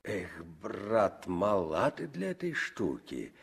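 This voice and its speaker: A friendly navy commissar in a 1960s radio drama. He has a low voice and explains slowly and earnestly, as if to a child. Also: big pitch swings, creaky, husky, heavily acted.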